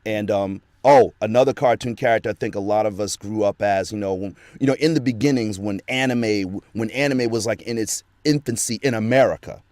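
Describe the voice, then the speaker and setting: A middle-aged man with a fairly low voice talks as a guest on an interview show. He reminisces in a loose, rambling way and keeps circling back to restart his thoughts. The sound is close and dry, with no room echo. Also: fairly quick, hardly any pauses, a little mellow. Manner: rambling nostalgic storytelling, many restarts. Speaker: guest voice actor. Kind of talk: casual interview chat.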